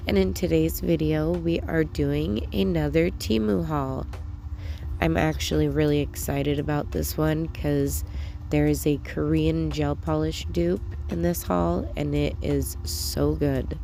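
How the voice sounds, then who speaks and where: Young female haul host, deep creaky voice, slightly congested, upbeat and chatty. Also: fairly even intonation, a little slow, warm tone, slight background noise. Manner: chatty, enthusiastic, filler-heavy. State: stuffy, getting over a cold. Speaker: nail channel host. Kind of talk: casual haul review to camera.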